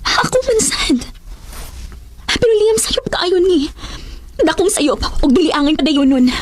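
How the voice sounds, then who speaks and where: A Cebuano Filipino woman acting a tearful, guilt-ridden young woman in a radio drama. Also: high voice. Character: tearful young woman, conscience-stricken, protective of her mother.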